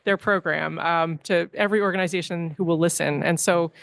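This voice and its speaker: A woman speaks in a lowish voice at a board meeting, delivering her director's report. She is earnest and keeps pausing on "um" and restarting phrases. The sound is dry and close, with no room echo. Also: steady tone, creaky, fairly bright tone, smooth. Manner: frequent ums and restarts, earnest. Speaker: library director.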